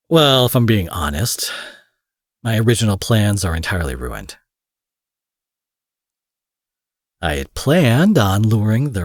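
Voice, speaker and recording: A man playing a protective, possessive supervillain in an intimate audio roleplay, husky and theatrical, moving between forceful speech and breathy murmurs. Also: on the low side, no echo, studio quality. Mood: menacing, musing. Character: smooth protective male supervillain courting his captive rival.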